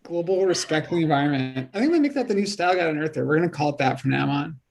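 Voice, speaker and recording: A man's voice: on the high side, animated, racing, vocal fry. A man chatting casually as a guest, joking in a dry, tongue-in-cheek manner. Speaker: guest journalist.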